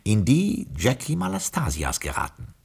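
A man playing a loyal butler in his forties in a radio play, formal, deferential and deadpan, with a husky voice. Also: medium pitch, normal speed, heavily acted. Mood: dry, confiding. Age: 40s. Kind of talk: German radio play acting and narration.

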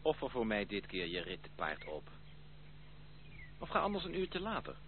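An actor playing a persistent, affectionate suitor in a period radio drama, coaxing and earnest. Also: male voice, fairly low pitch, wildly swooping pitch, moderate pace. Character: persistent, affectionate male cousin courting a stubborn woman. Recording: muffled old radio play, faint hiss.

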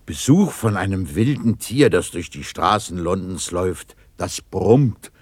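An actor playing a reformed old miser in a 1960s radio drama, blustery and commanding, bursting with joy. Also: male voice, low-pitched, expressive, unhurried. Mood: playful. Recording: noisy 1960s radio play recording.

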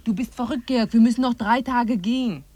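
A woman's voice: fairly high pitch, brisk, mellow, dry recording, lightly acted. An actress playing a practical, resolute woman hiker in a radio drama, plain-spoken and earnest. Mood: firm, worried. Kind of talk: 1950s German radio drama acting.

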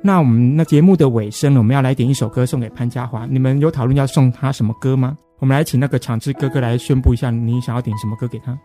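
A man's voice: mid-range voice, quick pace, few pauses, warm tone, faint music behind. A man with a Taiwanese Mandarin accent hosting a conversational radio interview in an effusive, encouraging manner. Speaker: interview host.

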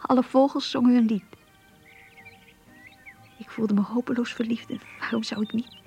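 An actress playing an earnest, lovestruck young woman confiding her diary in a radio play, in a hushed, breathy voice. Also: fairly high pitch, steady tone, normal speed, faint music behind. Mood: lovestruck, uneasy. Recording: muffled, lo-fi radio play recording.

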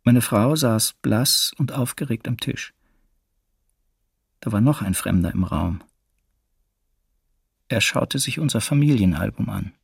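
Sober male witness, gravelly voice. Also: fairly low pitch, animated, dry recording, clean studio sound, full character voice. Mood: sober, tense. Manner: sober, measured recollection. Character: captured partisan ally recounting his arrest, sober witness. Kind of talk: documentary radio play, first-person testimony.

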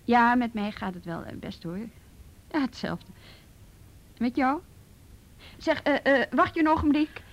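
An actress with a Netherlands Dutch accent playing a brisk, firm policewoman who handles youth cases in a radio drama. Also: on the high side, expressive, dull audio. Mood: brisk, friendly. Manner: assertive, businesslike.

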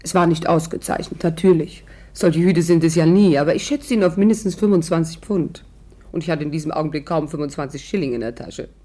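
A woman's voice: low-pitched, brisk, warm tone. An actress playing a witty, stubborn young woman in a 1950s German radio play, with ironic, theatrical banter. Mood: wry, confiding. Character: witty, stubborn young English actress, hat lover, narrating. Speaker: actress narrating and playing the heroine. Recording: 1950s radio recording, music behind.

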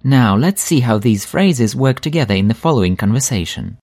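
A man teaching a language lesson, slowly and clearly repeating each phrase for learners. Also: mid-range voice, lively intonation, darkish tone. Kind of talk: scripted language lesson narration.

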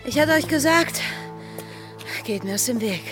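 A rebellious young gentlewoman in a radio play. Her high voice is fierce and commanding, swinging widely, over clearly audible music. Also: lively intonation, measured pace. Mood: cold, vengeful. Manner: urgent, commanding, defiant. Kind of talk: radio drama acting.

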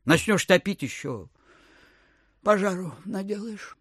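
A man playing a miserly, suspicious landowner in his sixties in an audio drama, with a querulous, husky, rambling delivery. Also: high-pitched, animated, measured pace, a bit bright, no echo, clean studio sound, exaggerated voice. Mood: grumbling. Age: 60s. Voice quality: husky, throat-clearing old man's voice. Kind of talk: theatrical audio drama acting.

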